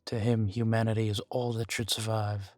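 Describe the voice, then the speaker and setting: A man playing an ancient vampire in an audio roleplay, guarded, weary and grave, speaking in a measured, deliberate way with dramatic pauses. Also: on the low side, little pitch movement, no room sound, soft background music, close to an everyday voice. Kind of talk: scripted audio roleplay addressed to the listener.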